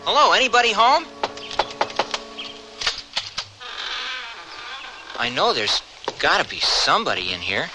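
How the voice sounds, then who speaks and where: An actor playing a guarded young American drifter in an old-time Western radio drama. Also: male voice, high voice, wildly swooping pitch, brisk, music in the background. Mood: musing.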